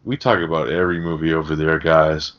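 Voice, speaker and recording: A man's voice: extremely low pitch, little pitch movement, a little fast, a bit bright. A man hosting a casual podcast, addressing guests and listeners in an informal, chummy way. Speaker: podcast host. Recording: muffled, lo-fi podcast audio.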